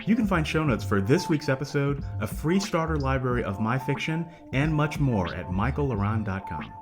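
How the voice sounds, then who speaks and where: A man, the podcast host, reading a polished scripted show intro with a lively delivery. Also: mid-range voice, normal speed. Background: music.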